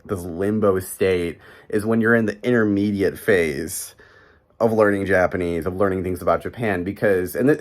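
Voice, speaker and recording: A young man from Chicago with a Midwestern American accent, talking casually to a close camera in a small bedroom and rambling with lots of fillers. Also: on the low side. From Chicago, USA. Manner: rambling, heavy "like" and "you know" fillers. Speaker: vlogger. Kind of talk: casual talking-head monologue. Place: small bedroom in an apartment, indoors.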